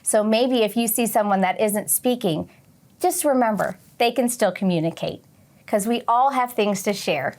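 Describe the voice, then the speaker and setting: A woman teacher reading aloud and talking to young children, expressively, with questions and pauses for their answers. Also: mid-range voice, darkish tone. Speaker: teacher hosting a children's read-along. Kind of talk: read-aloud and lesson addressed to young children.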